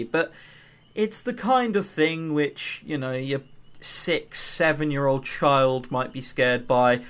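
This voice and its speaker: Casting: informal game reviewer, highly animated intonation, mid-pitched, opinionated, sweary, prone to comic exaggeration. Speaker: visual novel reviewer and channel host. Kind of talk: informal video review commentary, scripted in parts.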